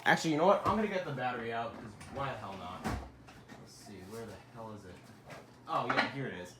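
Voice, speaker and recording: A man's voice: mid-range voice, expressive, brisk, heavy background noise. A young man showing off his radio collection in a casual, rambling way, with the odd muttered curse. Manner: laid-back and rambling, with mild swearing. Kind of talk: casual rambling product demo.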